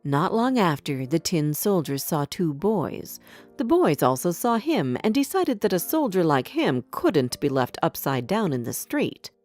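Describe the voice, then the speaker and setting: A woman with a fairly low voice reads a children's fairy tale. Her storytelling has a very animated melody, and soft music plays behind her. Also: a little slow. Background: background music.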